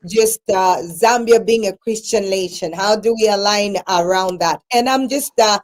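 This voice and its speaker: A woman hosting a radio program, asking questions with many pauses and fillers. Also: mid-range voice. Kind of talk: interview hosting.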